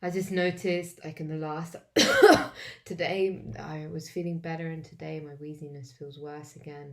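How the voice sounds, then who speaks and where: An English woman who is wheezy and coughing, talking in a halting way with many fillers as she walks through a self-help tapping routine alone at home. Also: low-pitched, a little mellow. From England. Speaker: vlogger demonstrating tapping. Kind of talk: casual self-help walkthrough.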